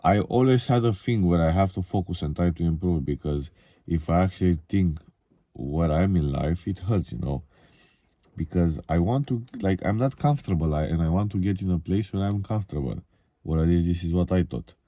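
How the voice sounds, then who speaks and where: A man's voice: low voice, animated, moderate pace, mellow. A twenty-year-old man from Romania with a Romanian accent, speaking English as a second language in a casual, candid, reflective confessional monologue. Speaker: vlogger.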